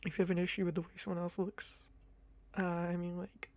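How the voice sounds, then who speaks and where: Gravelly, high-voiced young man. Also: monotone, a little fast, no echo. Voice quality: high-pitched voice. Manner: chatty, rambling, self-deprecating. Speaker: ASMR creator. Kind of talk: casual ASMR ramble to camera. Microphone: close condenser mic.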